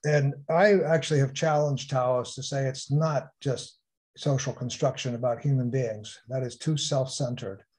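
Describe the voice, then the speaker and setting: A man moderating a panel session, speaking haltingly with frequent restarts. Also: mid-range voice, fairly even intonation, darkish tone. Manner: halting, restarts sentences often. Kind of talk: hosting an online panel session.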